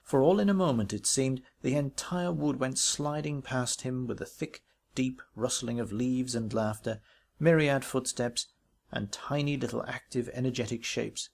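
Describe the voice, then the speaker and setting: A man reading a ghost story aloud as literary narration, in a measured, expressive way with varied emphasis. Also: mid-range voice, vocal fry. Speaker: audiobook reader.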